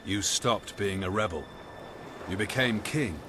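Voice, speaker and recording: An actor playing a gruff veteran officer in a video game, brisk, dry and commanding. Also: male voice, lowish voice, background noise, close to an everyday voice. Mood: wry, observant. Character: gruff male veteran soldier officer, businesslike and wry. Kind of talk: video game cutscene acting. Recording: loud music behind the voice. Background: loud game soundtrack music.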